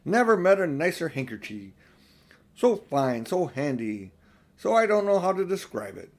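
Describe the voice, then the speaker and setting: A man voicing a huge, polite, slow-witted giant who talks in a colloquial, deferential way for a storybook. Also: on the high side, sing-song, voice pushed up. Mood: politely grateful.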